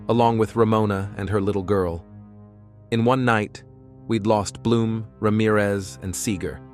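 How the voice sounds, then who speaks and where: A man's voice: lowish voice, close to an everyday voice. A man playing a weary, bitter soldier who narrates an audio comic in a somber, terse manner. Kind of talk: scripted first-person narration in audio comic.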